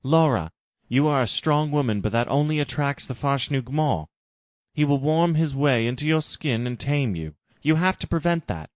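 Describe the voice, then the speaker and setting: A man's voice: mid-range voice, expressive, normal speed, no echo, pitched above the performer's own voice, natural voice. A man voicing a grave male adviser who gives dire warnings, earnest and emphatic, in a parody story. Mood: urgent warning.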